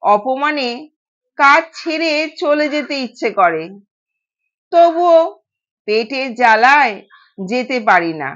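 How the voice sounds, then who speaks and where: Female storyteller, very high voice, measured expressive delivery for scripted drama, slightly dull lo-fi recording. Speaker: audio story narrator. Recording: dull, lo-fi sound.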